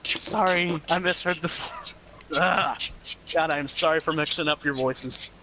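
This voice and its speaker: A young man chatting excitably and swearing freely while co-commentating a game stream, breaking into silly voices. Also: fairly high pitch, a little slow, background noise. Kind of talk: casual gaming chat.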